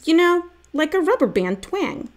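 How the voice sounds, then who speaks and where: A woman's voice: very high voice, very animated, normal speed, pitched above the performer's own voice. A woman reading the part of an enthusiastic, emphatic young boy in a children's storybook. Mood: eager. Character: eager young boy obsessed with frog sounds.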